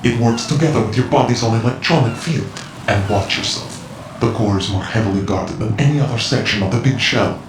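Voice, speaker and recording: An actor playing a cool, commanding ninja informant in a video game cutscene, speaking in a measured, knowing, clipped way. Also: male voice, mid-range voice, natural voice. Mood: instructive. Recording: echoing voice with music behind.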